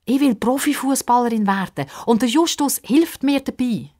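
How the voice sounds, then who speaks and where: Woman playing a plucky young girl, high-pitched. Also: moderate pace, warm tone, close to an everyday voice. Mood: earnest, pleading. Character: plucky, cheerful young football-loving girl.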